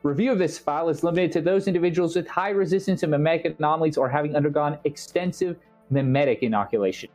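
A man's voice: a little high, fast, hardly any pauses, darkish tone, soft background music. A man co-hosting a stream, chatting and reading aloud with dry humour. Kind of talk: casual chat and read-aloud.

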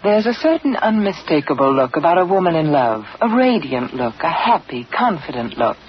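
A woman reading an old radio commercial in a soft, persuasive voice. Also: deep voice, big pitch swings, moderate pace. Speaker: advertiser spokeswoman. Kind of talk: 1940s radio ad. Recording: dull old radio broadcast, faint hiss.

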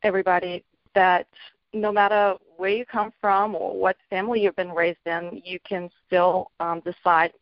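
A middle-aged woman from Bulgaria, a children's author being interviewed, talking in a hesitant way with many ums and soft laughs. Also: fairly low pitch, flat delivery, moderate pace, dry recording. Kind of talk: casual interview talk. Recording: dull lo-fi audio.

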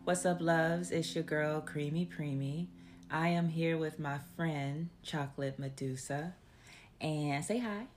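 A woman's voice: low-pitched, steady tone, unhurried, darkish tone. A woman podcast host with a slangy, laid-back, intimate delivery, telling an erotic story and chatting with a guest. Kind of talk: erotic storytelling and casual interview. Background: background music.

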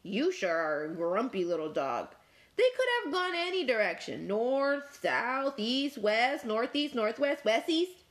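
A woman's voice: on the high side, sing-song, measured pace. A woman voicing a rambling, chatty talking fork in a picture book.

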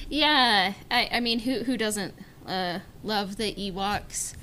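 A woman's voice: medium pitch, takes it slowly, sharp tone, a little hiss or hum. A woman hosting a podcast in a casual, chatty style. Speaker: podcast host. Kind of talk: casual chat.

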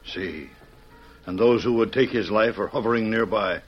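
A grave, kindly mission priest in a vintage radio drama. His low voice is measured and formal, heard through a slightly muffled old broadcast. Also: breathy edge. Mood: grave, confiding. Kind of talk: 1940s-50s radio western acting.

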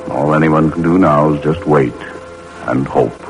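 An actor playing a sober, kindly doctor who gently reassures, in a 1940s radio drama. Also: male voice, very deep voice, measured pace, rough recording. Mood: gently consoling. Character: grave, kindly doctor consoling a patient's mother. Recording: old radio recording under loud music.

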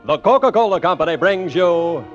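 A male 1950s radio announcer with a high, swooping voice, making formal, showy introductions. The old broadcast sounds muffled, with an orchestra playing behind him. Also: lively intonation, normal speed. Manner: formal, showy build-up delivery. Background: orchestral music.

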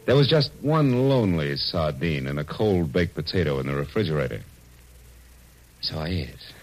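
An actor playing a wry, easygoing, flirtatious private detective in a 1940s radio drama. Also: male voice, rumbling low, sing-song, moderate pace, exaggerated voice, poor audio. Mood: wistful, wry narration. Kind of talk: 1940s radio drama acting and first-person narration. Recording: old radio recording with music behind.

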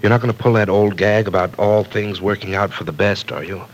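A man's voice: fairly low pitch, steady tone, a little fast, a little hiss or hum, close to an everyday voice, poor audio. An actor playing a brooding, unhappily married young man in an old radio drama. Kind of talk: 1940s radio acting.

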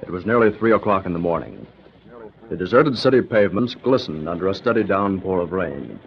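Low-voiced, dramatic vintage radio announcer, male. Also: very animated, fairly quick, gravelly. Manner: emphatic, theatrical delivery. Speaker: announcer, narrator. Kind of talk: dramatic 1940s radio announcing, scripted narration. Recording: dull, noisy old radio recording.

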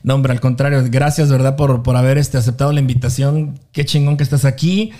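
A man hosting a podcast, chatting casually and warmly with slang and many fillers. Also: mid-range voice, steady tone, fairly quick. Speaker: podcast host. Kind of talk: casual interview chat.

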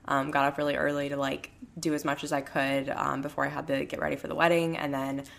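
Young female podcast host, low and gravelly, hoarse; loose rambling chat from home. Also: level tone, quick pace, fairly bright tone. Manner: rambling, heavy use of "like" and "um". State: hoarse, raspy voice. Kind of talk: casual solo podcast chat.

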